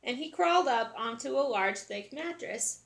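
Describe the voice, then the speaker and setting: Woman, children's read-aloud, high pitch, expressive, dramatic emphasis, storytelling teacher, echoey room. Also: normal speed.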